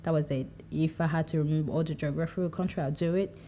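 A young woman from Cameroon speaking in a relaxed, reflective monologue, livening up when she quotes herself. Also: low-pitched, fast, slight background noise. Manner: conversational, animated when quoting herself. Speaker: podcast speaker.

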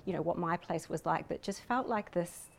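A woman's voice: fairly low pitch, moderate pace, creaky. A woman with a New Zealand accent, speaking thoughtfully and hesitantly as an interviewed researcher, with frequent you-knows. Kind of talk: reflective interview talk.

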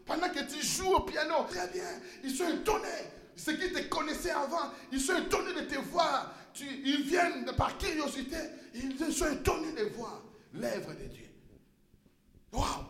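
A male pastor preaching a sermon in a church, impassioned, rising to shouts and stumbling over repeated words. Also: very high voice, unhurried, creaky, reverberant.

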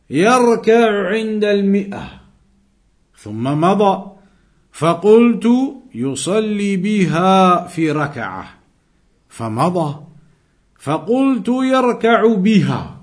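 A man giving an Islamic lesson, reading texts aloud and explaining them deliberately, repeating phrases for emphasis. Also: high voice, very animated. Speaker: religious teacher.

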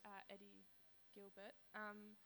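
A young Australian woman speaks on a panel about her art, hesitant and filler-heavy. Her voice sits at a middle pitch, with faint ambience in the room behind her. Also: monotone, slow, pushed and bright, a little hiss or hum, low-quality recording. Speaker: panelist, artist. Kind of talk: panel discussion.

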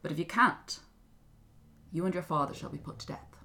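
A woman's voice: sits low, expressive, moderate pace, gravelly, bright, forceful tone, full character voice. A woman voicing a suspicious, imperious king in a storybook tale, declaiming commands. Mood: threatening.

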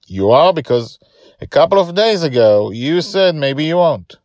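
A man voices a girl's caring male friend in a storybook tale. He sounds earnest and anxious, often questioning. The pitch moves around a lot, and the sound is dry. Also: very animated, a little slow, a little mellow, no echo. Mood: anxious, tender. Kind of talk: storybook character dialogue.

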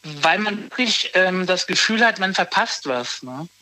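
A man in his thirties talks casually and haltingly, circling back and restarting his thoughts. His voice sits high and sounds bright and forward. The sound is dry, with no room echo. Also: animated. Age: 30s. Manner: hesitant, restarts often, lots of fillers. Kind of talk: casual conversation.

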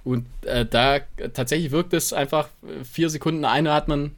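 A man's voice: fairly high pitch, steady tone, normal speed. A man co-hosting a podcast in casual banter, chiming in with teasing, jokey remarks. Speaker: podcast co-host.

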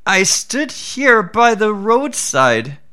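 A man's voice: high-pitched, very animated, takes it slowly, sharp tone, clean tone, pitched above the performer's own voice, exaggerated voice. A man playing an anxious, earnest Brahmin.

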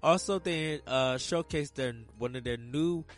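A man hosting a casual solo gaming podcast, a little hoarse from a sore throat, rambling loosely with many ums and uhs. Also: a little high, a little slow, faint music behind. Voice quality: raspy. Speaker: podcast host.

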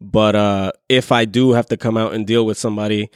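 Smooth-voiced man, easygoing and chatty. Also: on the low side, steady tone, a little fast, dry recording, clean studio sound. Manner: easygoing, laughs while telling stories. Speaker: guest. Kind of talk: casual group chat.

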